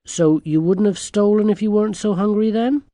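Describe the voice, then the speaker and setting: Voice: mid-range voice, normal speed, dark tone, dry recording, voice pushed up, natural voice. A narrator voicing a bold, determined young girl heroine in a storybook, direct and demanding. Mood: stern.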